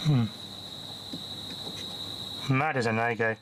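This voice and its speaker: A man's voice: mid-range voice, steady tone, slow, heavy background noise. A man giving casual, off-the-cuff repair commentary as a hobbyist tinkerer, muttering to himself in short fragments as he thinks aloud.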